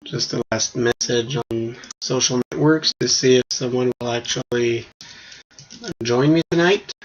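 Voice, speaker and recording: A man's voice: mid-range voice, unhurried. A man whose first language is French, hosting a casual solo podcast and thinking aloud with small restarts. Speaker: podcast host. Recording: dull lo-fi stream audio with faint noise.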